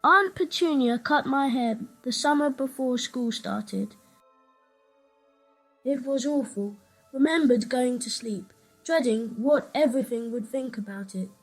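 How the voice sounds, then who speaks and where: A woman's voice: sits high, unhurried, poor audio. A woman voicing an earnest, polite, curious young boy wizard in a fan comic dub. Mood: recalling unhappily. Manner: earnest, simple, questioning. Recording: music under the voice.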